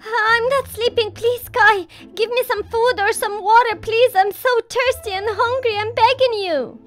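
A woman's voice: extremely high pitch, steady tone, unhurried, a bit bright. A woman playing a desperate, starving captive girl who pleads and whimpers in an amateur role-play story. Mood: pleading.

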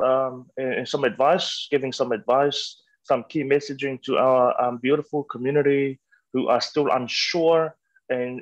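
A man's voice: mid-range voice, no echo. An earnest man, a health worker, talks as a guest over a headset on a video call, his thoughts often interrupted by ums and restarts. The sound is dry and close. The audio is a little dull. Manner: earnest, lots of fillers and restarts.

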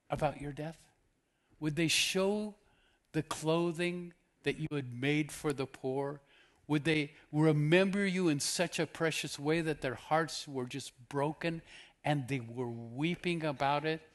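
A man's voice: a little high, a bit bright. A man in his fifties, a guest preacher giving an earnest sermon on a church stage, asking the audience questions. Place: church stage with purple lighting.

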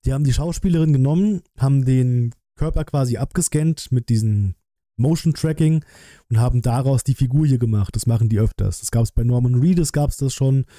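A man's voice: mid-range voice, brisk, mellow, no room sound. A man, giving casual live-stream commentary in a colloquial, musing way, close on the mic. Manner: musing, colloquial, thinking aloud. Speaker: streamer reacting to a game trailer.

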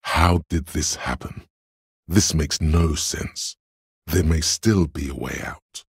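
A man voicing a detective's coolly analytical inner voice of logic in a video game, gravelly and husky, with measured, deliberate delivery. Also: a bass voice, no echo, clean studio sound, full character voice. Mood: reasoning. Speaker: game voice actor. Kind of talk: scripted video game narration.

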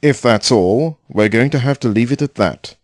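A man's voice: mid-range voice, moderate pace, close to an everyday voice. A man playing a blunt, dismissive, hard-nosed investor in an audio drama, curt and sardonic in manner. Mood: skeptical, brisk.

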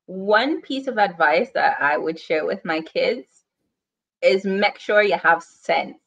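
A woman's voice: lowish voice, takes it slowly, creaky, fairly bright tone, lo-fi sound. A young woman with a Guyanese accent, chatting as a podcast guest over a video call, laughing easily and rambling with fillers. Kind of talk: casual interview chat. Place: home room, indoors.

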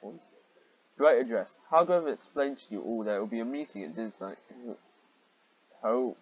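Exasperated husky man with a lively pitch. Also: normal speed, dull audio. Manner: exasperated, easily sidetracked, breaking off to comment. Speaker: reader and commentator of fan fiction. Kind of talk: mocking read-aloud with rambling asides.

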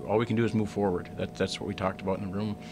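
A middle-aged hockey coach speaks into a desk mic at a press conference, his voice sitting on the low side. He talks in halting bursts, doubling words and restarting sentences. A faint rumble hangs under the room. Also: flat delivery. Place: press room in front of a sponsor backdrop. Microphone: desk mic on a stand.

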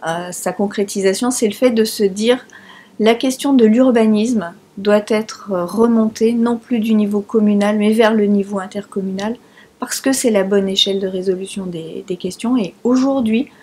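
Middle-aged female elected official for interview testimony, medium pitch, husky tone. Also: a little mellow.

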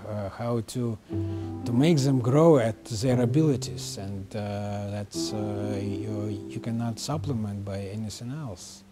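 A man's voice: lowish voice, unhurried. A man in his sixties, not a native English speaker, searching for words in an interview. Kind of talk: documentary interview. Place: indoors, furnished room. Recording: music under the voice.

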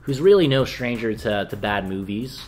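A man's voice: fairly low pitch, animated, normal speed, darkish tone, a little hiss or hum. Young man chatting through a movie review. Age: young adult. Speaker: film review co-host. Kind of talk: casual movie review chat. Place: small indoor room with shelves of discs.

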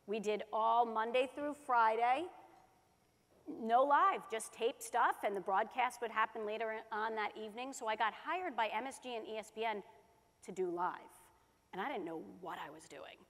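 A woman in her forties, a sports broadcaster, telling her career story quickly and animatedly in an on-stage conversation. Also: a little high, fairly bright tone. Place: large conference hall stage. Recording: hall reverb.

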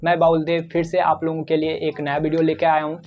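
A man's voice: on the high side, completely flat delivery, fast, muffled recording, faint music behind. A man in his twenties with an Indian accent, hosting a step-by-step tech tutorial and addressing viewers directly on a lapel mic. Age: 20s.

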